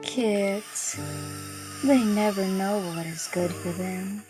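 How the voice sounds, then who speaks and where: An actress playing a pious, calmly menacing schoolteacher in a horror audio drama, preaching in a measured way. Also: female voice, medium pitch. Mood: gleeful, menacing. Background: music.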